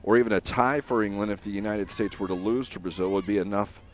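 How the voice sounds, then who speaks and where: A man giving live play-by-play commentary on a soccer match, steady and filler-heavy with frequent pauses. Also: fairly low pitch, slight background noise.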